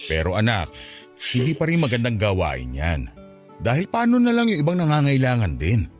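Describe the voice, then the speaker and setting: A man playing a gentle, devout, poor middle-aged father consoling his child in a Tagalog radio drama. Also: mid-range voice, wildly swooping pitch, brisk, faint music behind. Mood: gently admonishing.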